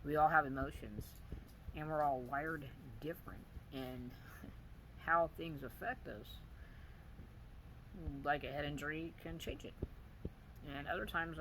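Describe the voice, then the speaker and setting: Soft-voiced man with a halting delivery. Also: a little high, low-quality recording. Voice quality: weak, soft voice. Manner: halting, searching for words, many pauses and fillers. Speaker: vlogger. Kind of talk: personal video diary. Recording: audible background noise.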